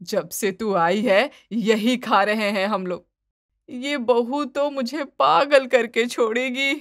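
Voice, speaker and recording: A woman's voice: a little high, steady tone, takes it slowly, no room sound. Woman playing a grumbling mother-in-law, dry close sound. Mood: exasperated, scolding. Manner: scolding, exasperated. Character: grumbling, impatient middle-aged mother-in-law. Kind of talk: animated moral story dialogue.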